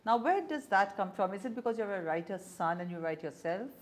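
An older woman from India with an Indian English accent, hosting an interview with brisk, direct questions. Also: medium pitch, animated. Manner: brisk questions, short affirmations. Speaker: interviewer host. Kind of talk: conversational interview. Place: small warm-lit room.

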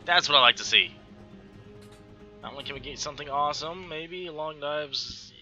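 A man in his thirties from Texas with a light Texan accent, chatting through gameplay commentary on a headset, full of quick exclamations and talk to himself. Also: a little high, muffled recording, music in the background. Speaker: gaming channel host.

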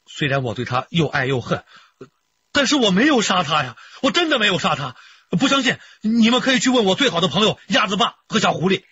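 Boastful, folksy male suspect, higher-set husky voice, radio drama, dulled sound. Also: very animated, fairly quick, pushed and bright, highs cut off, exaggerated voice. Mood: panicked pleading. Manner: rambling, pleading, folksy. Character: rough, boastful, lovestruck fisherman suspect, male adult. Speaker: actor in police radio drama.

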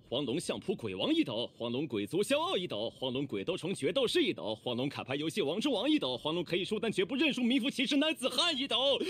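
A man's voice: high-pitched, fairly bright tone, breathy edge, full character voice. A man voicing a swaggering, hot-blooded young oni gang boss in a video game, boastful, theatrical and rowdy. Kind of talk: animated video game character voice lines.

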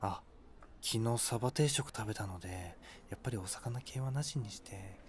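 A man's voice: fairly low pitch, normal speed, slight background noise, natural voice. An actor playing an awkward, flustered young man on a date in an audio drama, polite and stammering. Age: young adult. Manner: hesitant, stammering.

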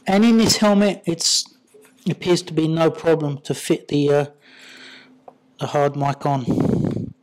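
A casual reviewer voice at a medium pitch, talking through an installation step by step. The delivery is informal and unscripted, often muttered half to themselves while the hands are busy. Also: measured pace, pauses often, a little mellow, poor audio. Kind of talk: casual hands-on tutorial narration.

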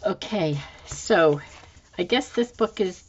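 A slightly hoarse woman with a low, unhurried voice talks through her crafting at a desk. She muses aloud to herself as she goes. The audio is a bit dull and lo-fi. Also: lively intonation. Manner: unhurried, musing to herself with fillers. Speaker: planner decorating channel host. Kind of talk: casual thinking-aloud crafting narration. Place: indoors at a desk. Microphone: overhead camera, hands only.

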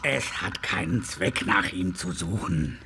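A man playing an earnest, wary beaver in a German radio drama, dramatic and ominous, with a creaky voice. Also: low-pitched, takes it slowly, slight background noise, heavily acted. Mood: grave. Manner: dramatic, ominous, swinging into hushed tones. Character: grave old talking beaver, earnest and wary. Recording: music under the voice.

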